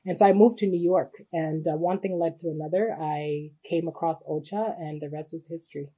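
A young adult woman from Cameroon, a humanitarian worker, answering interview questions in a thoughtful, measured way with many pauses and restarts. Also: deep voice, steady tone, mellow, husky. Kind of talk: conversational interview.

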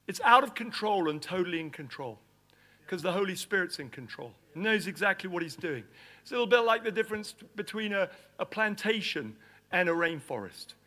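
A middle-aged man who grew up in Nigeria, preaching in an animated storytelling style and acting out the scenes he describes. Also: sits high, brisk. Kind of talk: storytelling sermon.

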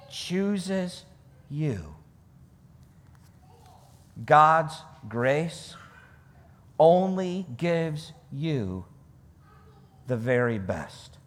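High-voiced male preacher in a reverberant hall. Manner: emphatic, deliberate phrasing with repetition for weight. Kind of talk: sermon with scripture reading.

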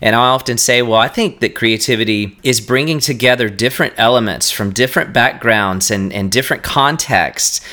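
A man's voice: medium pitch, moderate pace, few pauses, bright, forceful tone. A man hosting a podcast interview, talking in an earnest, enthusiastic and rambling way. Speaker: podcast host. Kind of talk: casual interview chat.

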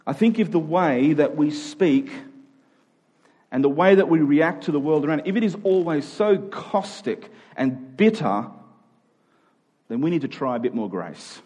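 This is an Australian man preaching live to a congregation. His voice sits a little higher than most men's. He keeps the sermon conversational and informal, putting rhetorical questions to his listeners and joking with them. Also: lively intonation, unhurried, darkish tone, breathy edge.

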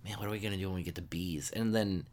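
A man in his thirties with a very deep, low voice co-hosts a podcast. He talks in a relaxed, rambling way, full of fillers and restarts. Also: rapid-fire, slight background noise. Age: 30s. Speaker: podcast co-host. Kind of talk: casual chat.

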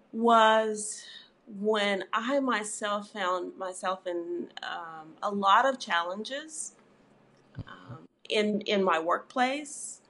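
Middle-aged woman, therapist guest, reflective and conversational, filler-heavy. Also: mid-range voice. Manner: warm, reflective, frequent "you know" fillers. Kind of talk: conversational interview.

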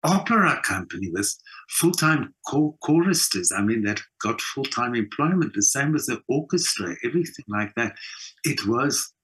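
A middle-aged South African man with a husky voice, talking reflectively in an interview, emphatic, with halting repeats and pauses. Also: mid-range voice, big pitch swings, fairly bright tone, poor audio. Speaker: actor and singer being interviewed.

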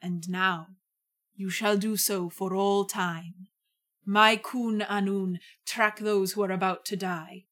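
A woman storyteller voicing a courteous male lord of the otherworld, measured, solemn and gracious. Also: medium pitch, fairly even intonation, fairly bright tone. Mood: solemn, knowing.

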